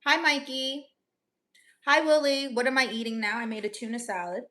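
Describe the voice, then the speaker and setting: A woman's voice: fairly high pitch, fairly even intonation, moderate pace, pushed and bright. A young woman from Orlando, Florida, chatting casually with viewers as livestream host, upbeat and laughing often. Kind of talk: casual chat reading viewer comments.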